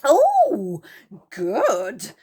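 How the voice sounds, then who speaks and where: An excitable young storybook fellow, acted out by a woman reading aloud, joyful and eager. The voice sits high and swoops up and down with great animation. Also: drawn-out words, breathy edge, exaggerated voice. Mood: excited, yelling. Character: excited young boy called Moonface, joyful and worried. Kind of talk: storybook character voice.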